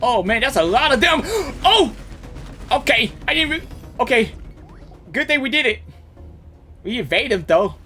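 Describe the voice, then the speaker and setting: Female game streamer, very expressive pitch, excitable, slangy reaction commentary, faint music behind. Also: a little slow, long pauses. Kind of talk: reaction commentary, reading dialogue aloud.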